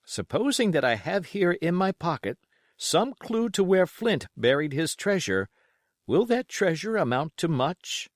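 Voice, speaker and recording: Storybook doctor, voiced by a male narrator: genial, composed gentleman, measured and pointed, with animated intonation. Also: on the high side, no echo, studio quality, voice pushed up. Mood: composed, firm.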